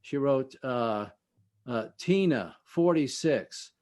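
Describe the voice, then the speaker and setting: A man speaking as an expert guest in an interview, explaining in a halting, conversational way and often trailing off at the ends of phrases. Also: mid-range voice, expressive, darkish tone.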